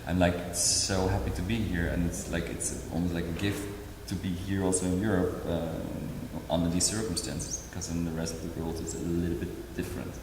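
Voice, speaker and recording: A man in his forties, a choreographer from Indonesia, talking about his work in a hesitant, halting way full of fillers and restarts. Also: deep voice, little pitch movement, background noise. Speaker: choreographer and dancer. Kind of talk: artist talk. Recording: very echoey hall.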